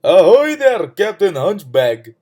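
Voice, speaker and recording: A man's voice: a little high, big pitch swings, normal speed, warm tone, slightly rough. A man voicing a cheerful, brash fool in a folk tale, calling out in exuberant greetings. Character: cheerful, brash young fool hailing strangers.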